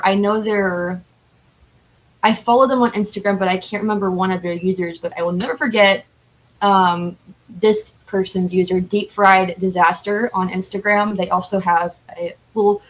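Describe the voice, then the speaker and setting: Female podcast guest, mid-pitched voice, chatty and candid, informal, unscripted delivery. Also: fairly bright tone, rough recording. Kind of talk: casual interview chat.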